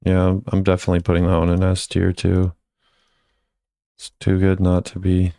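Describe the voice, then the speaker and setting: A man's voice: low voice, monotone, moderate pace, vocal fry, dark tone, dry recording, studio quality. A man hosting a video, giving casual commentary as he ranks game voice lines.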